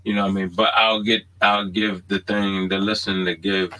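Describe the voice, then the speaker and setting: A man's voice: lowish voice, level tone, moderate pace, bright, forceful tone, poor audio. A man with a Baltimore accent chatting casually as a podcast co-host, often checking in with "you know what I mean". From Baltimore, United States. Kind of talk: casual unscripted chat.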